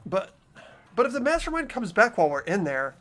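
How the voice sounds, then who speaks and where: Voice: medium pitch, big pitch swings, a little fast, fairly bright tone, faint music behind. A performer voicing an earnest, hesitant teenage boy who narrates a video game story reflectively. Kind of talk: scripted game narration and dialogue, amateur voice acting.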